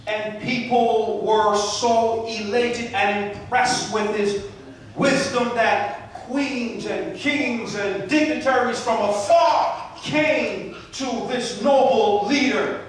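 A man in his forties from Barbados, preaching a sermon with a handheld mic, moving from soft storytelling asides to loud emphatic peaks. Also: sits high, steady tone, very slow, distant mic, slight background noise. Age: 40s. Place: church sanctuary. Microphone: handheld mic, far camera. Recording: echoey church, captured from afar.

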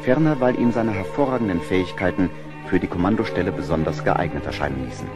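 A man narrating a historical adventure radio play in a measured storytelling manner. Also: low-pitched. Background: music.

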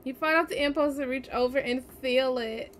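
A woman's voice: sits high, steady tone, moderate pace. Casual young woman streamer, faint noise. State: tired, yawning. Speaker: game streamer. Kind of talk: casual let's play commentary, reading game text aloud.

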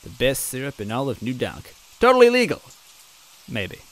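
A man casually reading a fan story aloud, rambling between passages and clearing his throat. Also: mid-range voice, wildly swooping pitch, normal speed, a bit bright, poor audio. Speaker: reader and narrator.

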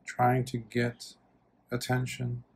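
A man leading a guided meditation as a monk, speaking gently and soothingly in short phrases with long pauses. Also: medium pitch, monotone, creaky, a little hiss or hum.

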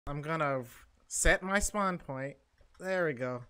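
A man chatting casually over voice chat while gaming, laid-back and quick with a wisecrack. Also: a little high, sharp tone, slight background noise. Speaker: friend playing along. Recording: faint keyboard clicks behind.

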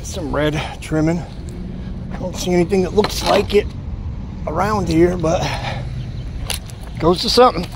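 A man gives a chatty running commentary, exclaiming over each find and talking to his viewers. His voice sits a little high. He is recorded outdoors on a body-worn action camera, with clear wind and water noise behind him. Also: steady tone, measured pace, pauses often. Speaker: magnet fishing vlogger. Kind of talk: casual running commentary while magnet fishing. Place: outdoors at a city pond edge. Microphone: chest-mounted action camera.

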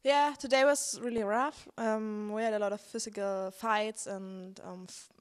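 A young woman, a player speaking in a post-match interview, pausing to find words. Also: mid-range voice, steady tone, smooth. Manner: hesitant, searching for words. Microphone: handheld mic held by interviewer.

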